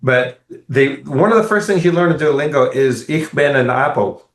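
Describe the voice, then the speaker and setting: A man co-hosting a podcast, telling a rambling, animated travel story in casual, profane chat. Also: medium pitch, normal speed. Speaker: podcast co-host. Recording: roomy echo.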